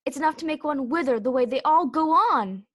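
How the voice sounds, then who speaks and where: A woman's voice: sits high, lively intonation, a little fast, fairly bright tone. A woman voicing a bossy, haughty talking tiger lily in a children's read-aloud play, with an imperious, scolding manner. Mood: haughty, lecturing.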